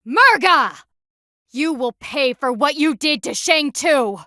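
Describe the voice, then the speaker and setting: A woman's voice: high voice, animated, slow, sharp tone, no room sound, clean studio sound, heavily acted. A woman voicing a stern, proud female panda warrior in a video game, with formal, clipped and dryly sardonic diction. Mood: commanding, fierce. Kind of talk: video game character voice lines.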